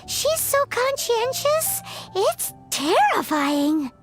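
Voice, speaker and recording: Tiny childlike game fairy, extremely high squeaky voice, excitable, innocent, dramatic, slow swooping delivery. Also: female voice, full character voice. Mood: uneasy. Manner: talks about herself in the third person. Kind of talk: anime video game voice acting. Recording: game music behind the voice.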